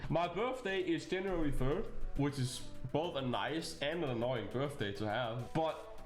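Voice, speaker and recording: A man's voice: fairly high pitch, measured pace, reverberant, soft background music. A young Danish man with a Danish accent, introducing himself as a VTuber in a chatty, joking way. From Denmark. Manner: chatty, animated, jokey with bursts of laughter. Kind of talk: casual self-introduction video.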